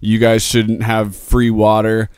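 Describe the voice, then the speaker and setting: A man in his twenties with a lowish, smooth voice, hosting a podcast. He rambles casually, swears freely and laughs often. The recording is close and dry. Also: monotone, slow, a little mellow, no echo. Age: 20s. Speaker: podcast host. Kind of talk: casual chat.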